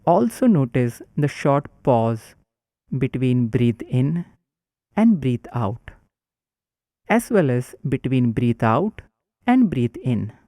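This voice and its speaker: A man guiding a breathing meditation in a calm, instructive way. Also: mid-range voice, takes it slowly, pauses often, no echo. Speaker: meditation guide.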